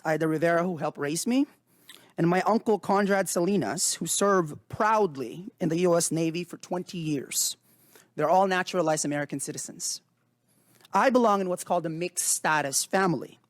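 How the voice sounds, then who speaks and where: A man in his thirties from the Philippines, a native Tagalog speaker, giving earnest, measured prepared testimony to a committee. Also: a little high, dry recording, studio quality. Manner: formal, earnest, measured delivery. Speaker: witness before a committee. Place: hearing room.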